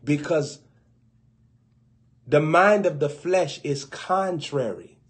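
A young man preaching on a livestream in front of an open Bible, playfully acting out skeptics and cracking quick asides. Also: on the high side. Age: young adult. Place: indoors before a gold bokeh backdrop with an open Bible. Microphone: webcam close up.

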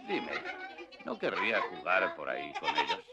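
An actor voicing a gruff but gentle old grandfather in a cartoon dub, with an earnest, measured delivery. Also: deep voice, sing-song, lo-fi sound, over a noisy crowd, heavy background noise. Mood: gently inviting. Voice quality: gravelly. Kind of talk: dubbed cartoon acting.

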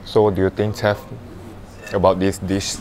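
A man in his forties chatting over dinner in a restaurant, relaxed and hesitant, saying "you know" often. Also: low voice, moderate pace. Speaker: diner guest. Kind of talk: casual dinner conversation. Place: upscale restaurant dining room.

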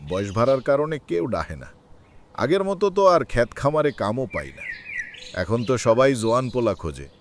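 A man plays a poor, ageing labourer and doting father in a cartoon. His rural Bangladeshi speech is folksy and very animated in pitch. Faint noise with birdsong runs behind it. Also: brisk. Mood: weary. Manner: expressive, folksy. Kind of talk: cartoon voice acting.

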